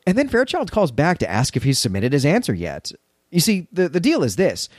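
A man's voice: a little high, wildly swooping pitch, fairly quick, no room sound. Wry man recapping on a dry recording. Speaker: podcast co-host. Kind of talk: conversational story recap and commentary.

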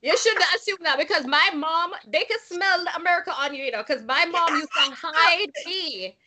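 A woman's voice: very high-pitched, moderate pace. A woman from Jamaica, casually discussing immigrant life with friends and asking the group questions. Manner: animated, acts out quotes, asks questions.

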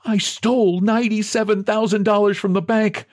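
A man's voice: high voice, lively intonation, normal speed, vocal fry, voice pushed up, full character voice. A man voicing a desperate, timid, haggard man with a pleading, wailing manner in a story reading.

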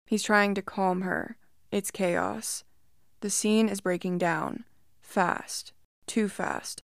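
Female narrator, mid-pitch, smooth and clear, calm creepy-story reading, dry close mic. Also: slow pace, no room sound.

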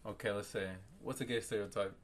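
A man co-hosting a podcast in casual chat, easygoing and thinking out loud. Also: lowish voice, fairly even intonation, fast. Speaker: podcast co-host.

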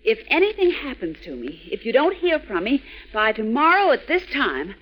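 A woman playing a brisk, headstrong newspaper reporter in urgent, clipped 1940s radio drama style. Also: a little high, big pitch swings, lo-fi sound. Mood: hurried, urgent.

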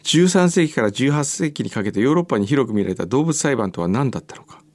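A man's voice: medium pitch, expressive, quick pace, a little mellow. A man co-hosting a radio show, chatting casually and reading out listener mail.

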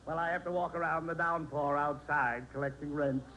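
An actor playing a smooth-talking, evasive landlord in a 1940s radio sitcom, falsely jovial and dismissive. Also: male voice, on the high side, steady tone, normal speed, bright, forceful tone. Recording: very noisy, clicking old radio recording.

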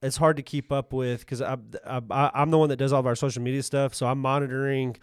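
A man's voice: mid-range voice, level tone, fast talker, smooth, dry recording, studio quality. A man co-hosting a casual podcast, talking in a rambling way and rephrasing himself as he goes. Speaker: podcast co-host.

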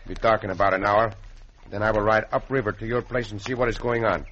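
An actor playing a brave, commanding yet kindly caballero hero with a Mexican accent in a radio western. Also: male voice, fairly low pitch, fairly even intonation, fast, a bit bright, natural voice. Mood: calm, reassuring. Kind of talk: old-time radio western acting. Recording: muffled, very noisy vintage radio recording.

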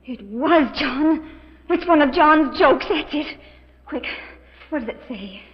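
An actress playing a high-strung, guilty woman lost in a cave, in anguished 1940s radio melodrama. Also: animated, slow, exaggerated voice. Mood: anxious, urgent. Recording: muffled 1940s radio recording, reverberant.

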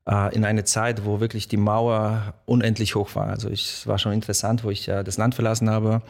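Low gravelly man, hesitant guest. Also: flat delivery, fairly quick, a little mellow. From Poland. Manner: hesitant, many fillers. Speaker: guest musician. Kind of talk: casual interview talk. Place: podcast studio.

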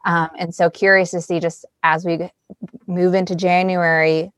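Female news podcast host, lower-leaning pitch, conversational interview style, very dry recording. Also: little pitch movement, moderate pace, a bit bright, dry recording.

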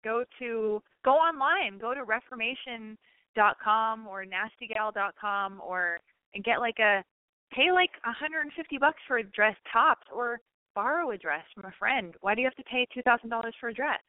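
Brief: woman call-in host, high voice, blunt and emphatic, dry, phone-thin sound. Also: expressive, no room sound, narrow, tinny audio. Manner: blunt, emphatic, fast-talking advice. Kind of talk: call-in advice chat.

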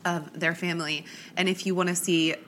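Young female podcast host, stuffy and chatty, lowish bright voice. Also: flat delivery, a little fast, a little hiss or hum. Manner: chatty, lots of likes and ums. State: congested with a cold. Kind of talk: true crime podcast storytelling.

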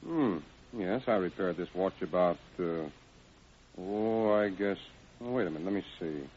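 An actor playing an unhurried watch repairman who mulls things over aloud in an old radio drama. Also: low-pitched, a little mellow. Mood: thoughtful, sure. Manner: hesitant, mulling aloud. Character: unhurried, careful male army-post watch repairman. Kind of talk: 1940s radio drama acting. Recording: dull, hissy old radio recording.

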